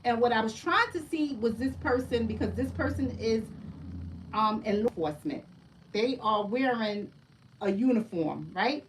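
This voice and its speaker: A woman's voice: fairly high pitch, animated, normal speed, recorded from a distance, slight background noise, poor audio. A woman giving a casual livestream oracle card reading, chatty, often tagging her sentences with a question and addressing her listeners as family. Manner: chatty, keeps asking "right?" and calls listeners "family".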